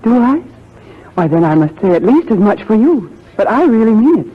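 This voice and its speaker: A woman's voice: fairly high pitch, sing-song, moderate pace, slightly rough. An actress playing an earnest, genteel young colonial plantation lady in a 1940s radio drama. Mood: wistful, earnest. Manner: genteel, refined phrasing. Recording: tinny narrow-band 1940s radio recording.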